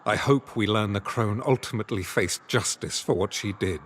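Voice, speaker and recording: Man acting a low-voiced, earnest fantasy adventurer. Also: normal speed, close to an everyday voice. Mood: solemn, determined. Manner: formal, old-fashioned, solemn phrasing. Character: earnest, troubled male adventurer, loyal to a missing friend. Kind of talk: fantasy game dialogue acting.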